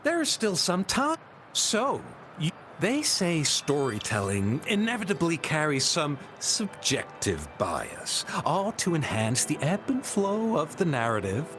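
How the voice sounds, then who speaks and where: An actor playing a musing, philosophical storyteller in a video game, with a theatrical, rhetorical delivery. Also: male voice, fairly high pitch, huge pitch swings, unhurried, a bit bright, a little hiss or hum, heavily acted. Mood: dramatic, rhetorical. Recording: background music under the voice.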